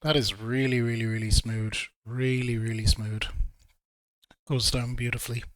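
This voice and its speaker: A man reviewing whiskey in a relaxed, hesitant way, a little throaty from a cold. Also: mid-range voice, normal speed, dry recording, clean studio sound. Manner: relaxed, hesitant with frequent ums. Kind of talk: casual video review.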